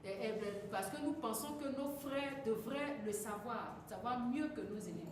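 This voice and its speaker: A woman's voice: on the high side, lively intonation. A woman interprets a sermon phrase by phrase, often correcting herself and filling gaps with hesitations. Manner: quick, self-correcting, hesitant fillers. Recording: echoey hall from far off, noisy.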